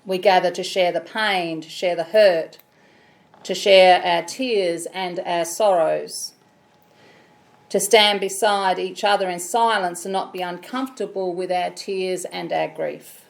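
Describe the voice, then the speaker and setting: An Australian woman with a loud voice, leading a funeral service as celebrant in a measured, solemn way. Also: fairly low pitch, steady tone, gravelly. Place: funeral chapel.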